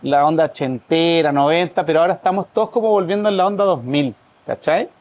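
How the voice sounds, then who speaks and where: A Chilean man, a musician guest on a podcast, chatting in a lively, slangy way and laughing often. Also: fairly high pitch, fast talker. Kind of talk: casual chat.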